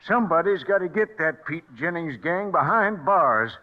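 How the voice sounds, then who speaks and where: A man's voice: a little high, lively intonation, normal speed, slight background noise, lightly acted. An American actor playing a stubborn, irascible old man in a radio western, with folksy, cantankerous frontier talk. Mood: grumbling. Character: feisty wounded old-timer, stubborn and irascible. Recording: muffled old radio broadcast recording.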